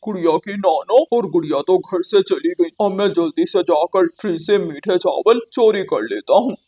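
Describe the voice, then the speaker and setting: A woman's voice: on the low side, lively intonation, normal speed, dark tone. A woman voicing a sneaky, greedy male crow in a cartoon, with a scheming, self-satisfied manner. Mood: gleeful plotting.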